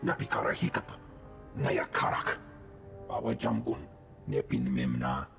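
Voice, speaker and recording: A man voicing a gruff alien creature in a guttural invented tongue for a video game. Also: very deep voice, sing-song, a little slow, fairly bright tone, faint music behind, heavily acted.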